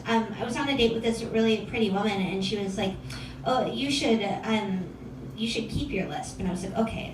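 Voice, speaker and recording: A young woman with a lisp performing a stand-up comedy set, wry and self-deprecating, with halting restarts. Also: fairly high pitch, background noise. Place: comedy club stage with a laughing audience. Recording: echoey room, distant mic, loud crowd.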